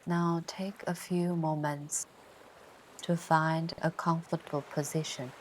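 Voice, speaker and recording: A woman narrating a guided meditation in a soothing, gentle way, with long pauses between short instructions. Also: deep voice, flat delivery, a little hiss or hum, low-quality recording. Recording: ocean surf sounds behind the voice. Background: ocean waves ambience.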